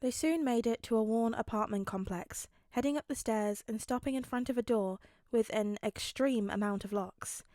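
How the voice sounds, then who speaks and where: A woman's voice: a little high, normal speed, few pauses, mellow, dry recording, studio quality. A woman who reads fan fiction aloud and hosts her own channel. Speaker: podfic reader and channel host. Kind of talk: fan fiction narration and chatty outro.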